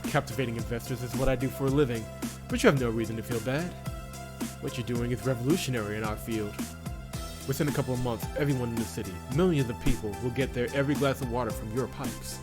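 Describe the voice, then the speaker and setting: A man's voice: medium pitch, quick pace, over music, close to an everyday voice. Man reading a suave, confident corporate boss. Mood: confident, persuasive.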